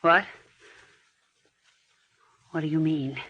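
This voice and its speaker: An actress playing a fragile, devoted sweetheart in a melodramatic 1940s radio style, on a vintage recording. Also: female voice, low voice, fairly even intonation, drawn-out words, husky, dull audio, exaggerated voice. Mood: distraught. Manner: emotional, melodramatic.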